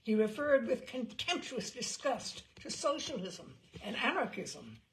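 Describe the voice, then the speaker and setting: A woman reading a memoir aloud as a narrator, in a wry and expressive storytelling manner. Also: fairly high pitch, unhurried, a little hiss or hum, low-quality recording. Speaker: reader. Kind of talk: audiobook narration.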